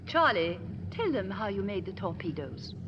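A woman's voice: medium pitch, big pitch swings, normal speed, muffled recording, close to an everyday voice. An actress playing a proper, determined and devoted woman in a 1950s radio drama, earnest and emotional in her delivery. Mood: proudly composed. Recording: faintly noisy old radio recording.

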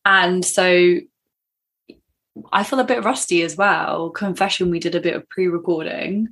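A young woman co-hosting a podcast in casual chat, with a husky, tired voice, laughing often. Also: lowish voice, a little slow, fairly bright tone. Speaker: podcast co-host.

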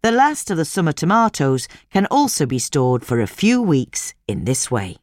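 Female narrator for instructional read-aloud: deep register, very varied melody, measured delivery, dry close sound. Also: sing-song, no room sound. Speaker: narrator reading a gardening magazine article.

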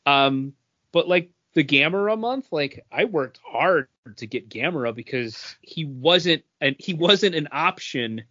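A man's voice: fairly high pitch, lively intonation, a little slow, sharp tone. A man talking casually about games as a podcast co-host, on a slightly dull recording.

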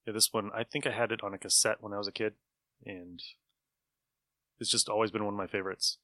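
A man's voice: lowish voice, fairly even intonation, fast, bright, forceful tone, dry recording. A man hosting a podcast in casual chat, close on the microphone. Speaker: podcast host.

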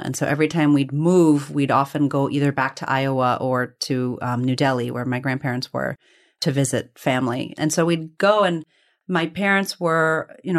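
Reflective woman telling family stories. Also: low voice. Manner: reflective, discursive, hedging with self-corrections. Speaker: interview guest. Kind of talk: conversational personal storytelling.

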